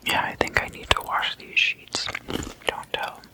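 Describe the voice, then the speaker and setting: Asmr host whispering all breathy and slow, casual sleepover chat, crisp bright hiss to it. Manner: slow, hushed, casual and conversational. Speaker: host of an ASMR roleplay series.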